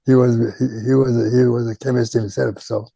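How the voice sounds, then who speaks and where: An older man, a native Korean speaker with a Korean accent, recalling his career as a retired chemistry professor in an oral history interview, speaking haltingly with many pauses and repeated words. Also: medium pitch, level tone, vocal fry, dark tone, no echo. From Japan.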